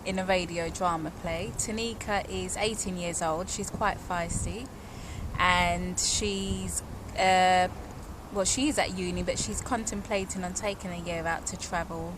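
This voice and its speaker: A woman's voice: on the low side, fairly even intonation, unhurried. A woman giving a casual, unscripted introduction as an amateur-style presenter of herself and her role. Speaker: radio drama actress. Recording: loud ambient noise, vehicle sounds.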